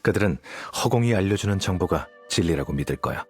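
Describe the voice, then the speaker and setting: Low-voiced, calculating scholar. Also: male voice, animated, fast. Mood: composed, calculating. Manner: composed, matter-of-fact explaining. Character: cool, calculating young scholar and strategist. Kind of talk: video game voice acting. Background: background music.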